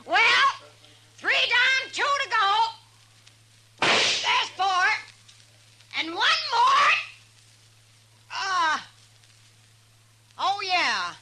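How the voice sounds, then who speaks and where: An actress playing a brash, boastful saloon gunslinger in an old radio Western, with an American accent, swaggering folksy slang and a bright, forceful voice. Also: female voice, very high-pitched, big pitch swings, very slow, pauses often, slight background noise, heavily acted. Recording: old radio recording with faint music.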